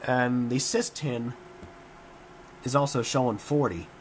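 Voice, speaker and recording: A hoarse man getting over a cold, talking casually about his computer in a home room warmed by a wood stove. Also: medium pitch, slow pace, a little hiss or hum. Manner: rambling, many fillers and restarts, chuckling. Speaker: computer hobbyist vlogger. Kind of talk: casual tech vlog.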